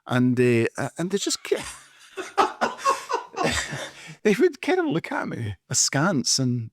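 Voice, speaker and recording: A man's voice: mid-range voice, wildly swooping pitch, slow pace, dry recording, clean studio sound. A man with a Scottish accent co-hosting a podcast, telling jovial stories about his classroom pranks. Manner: loud, jovial storyteller. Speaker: podcast co-host, former teacher. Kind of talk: casual chat, storytelling.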